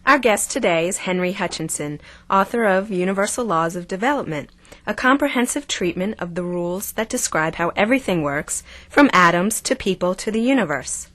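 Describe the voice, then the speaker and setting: A woman's voice: medium pitch, animated, brisk, fairly bright tone, no room sound. A woman playing a poised film actress who co-hosts a talk show, in an audio drama. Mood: polished hosting. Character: poised female film actress turned co-host.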